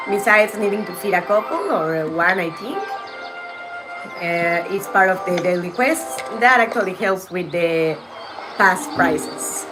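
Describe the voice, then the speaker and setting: Chatty woman game streamer. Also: mid-range voice. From Mexico. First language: Spanish. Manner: chatty, greets viewers by name. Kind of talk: casual live game-stream chat. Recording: game music loud behind.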